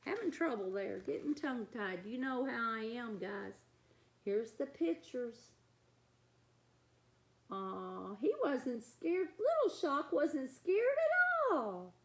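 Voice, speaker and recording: Children's story reader: woman, high voice, lively melodic range, expressive delivery with chatty asides. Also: takes it slowly. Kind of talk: animated read-aloud for young children.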